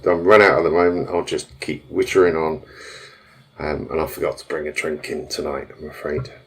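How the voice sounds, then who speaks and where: A man's voice: very deep voice, little pitch movement, moderate pace. A man hosting a live stream and answering viewer questions in a casual, rambling way, full of fillers and restarts. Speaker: tuning shop host answering viewer questions.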